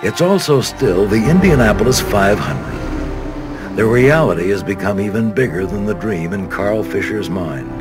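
An American man narrating a documentary from a script in a measured, dramatic storytelling manner. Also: lowish voice.

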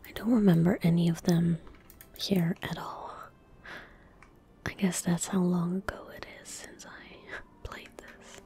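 A young woman gives hushed ASMR commentary on a game in a soft, breathy whisper. Her delivery is very slow and gentle, with a mellow tone, right up at the mic over keyboard and mouse clicks. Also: fairly low pitch, fairly even intonation. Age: young adult. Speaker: ASMR gaming streamer. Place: indoor room at a desk. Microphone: close desk microphone. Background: keyboard typing and mouse clicks.

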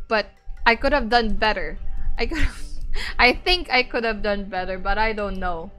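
A woman streamer chatting casually with her viewers, rambling and laughing often, with a slightly raspy voice. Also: medium pitch, moderate pace, bright, forceful tone, soft background music. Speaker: VTuber streamer.

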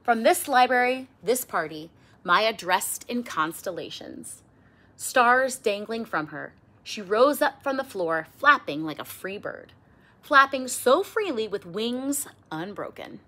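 A middle-aged woman reading a children's picture book aloud expressively, with theatrical emphasis and drawn-out words. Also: fairly high pitch, expressive, bright, forceful tone. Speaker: storybook reader. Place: small indoor room with bookshelves.

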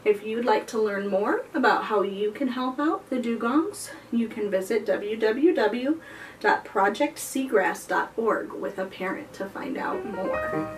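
An American woman leads a children's storytime at an unhurried, very slow pace. She reads expressively with a sing-song lilt. Her voice sounds a little distant from the microphone. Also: fairly high pitch, lively intonation, soft background music. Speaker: children's librarian, storytime host. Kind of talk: children's storytime read-aloud and talk. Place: indoors, before a chalkboard backdrop. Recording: voice slightly distant from the mic.

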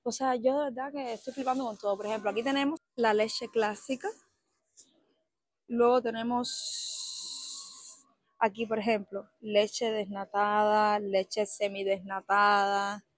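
A woman from Cuba with a Cuban Spanish accent, chatting excitedly to her viewers while walking a supermarket aisle. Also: medium pitch, unhurried, dry recording. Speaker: vlogger. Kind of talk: casual walk-through vlog.

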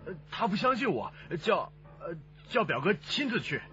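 A man's voice: sits high, very animated, moderate pace, bright, forceful tone, muffled recording, soft background music, full character voice. An actor playing a nervous, bumbling male informant with a heavy stutter in a radio crime drama. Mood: anxious, flustered.

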